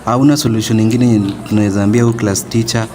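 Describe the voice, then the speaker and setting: An actor with a Kenyan accent playing a bored, complaining school student in an amateur radio drama, talking in casual slang. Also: male voice, lowish voice, steady tone, quick pace, dark tone, close to an everyday voice. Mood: bored, grumbling. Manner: casual, mixing English with Swahili slang. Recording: noisy, very reverberant room.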